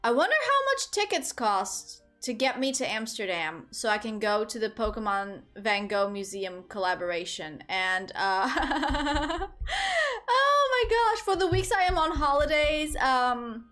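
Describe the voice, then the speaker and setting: A woman's voice: a little high, very animated, a little slow, pushed and bright, soft background music. A young woman from Portugal streaming from a small room on a headset, chatting casually with her viewers, giggly and rambling. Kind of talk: casual chat with live chat. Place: small room indoors at a desk.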